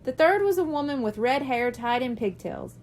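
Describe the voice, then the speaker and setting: A woman's voice: fairly high pitch, very animated, normal speed. A woman reading a children's storybook aloud as scripted narration. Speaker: audiobook reader.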